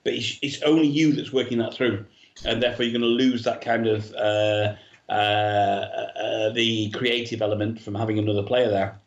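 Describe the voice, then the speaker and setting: A man's voice: on the low side, flat delivery, moderate pace. A man chatting as a guest over a remote call, talking earnestly and rambling with fillers. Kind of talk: casual chat. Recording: dull, roomy video call audio.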